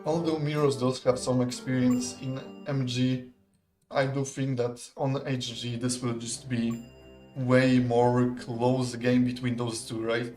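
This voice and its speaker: A young man calls a strategy match in a loose, conversational, analytical way. His voice sits at an ordinary middle pitch. Soft background music plays under his commentary. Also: a little slow. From Poland. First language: not English. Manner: relaxed, chatty, laughs often, analytical. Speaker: esports caster. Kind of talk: live game commentary.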